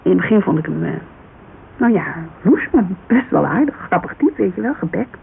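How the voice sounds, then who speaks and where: Radio drama part: Dutch woman, thirties, guarded and wistful, gravelly fry in the voice, hissy narrow-band recording. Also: mid-range voice, big pitch swings, brisk. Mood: fond, wistful. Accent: Dutch, Netherlands. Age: 30s. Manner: hesitant, colloquial, searching for words. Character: thirty-three-year-old Amsterdam model agency owner, guarded, wistful, candid. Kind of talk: naturalistic radio drama dialogue.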